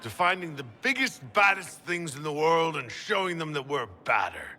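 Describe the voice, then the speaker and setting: An actor playing a confident, easygoing heroic leader in a video game cutscene. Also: male voice, fairly high pitch, very animated, moderate pace, bright, forceful tone, a little hiss or hum, full character voice. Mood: rousing.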